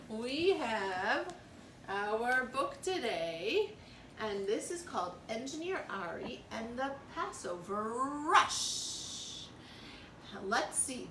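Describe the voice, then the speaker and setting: A woman reading a storybook aloud to young children in an expressive, teacherly way, pausing to explain and ask questions. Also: a little high, distant mic. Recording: roomy echo, clear background noise.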